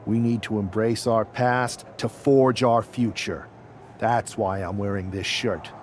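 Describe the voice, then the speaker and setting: A man's voice: fairly low pitch, steady tone, a little slow, darkish tone, a little hiss or hum, lightly acted. A man playing an ambitious newcomer pro wrestler and scheming rebel in a video game cutscene, speaking earnestly and with emphasis. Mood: defiant, emphatic.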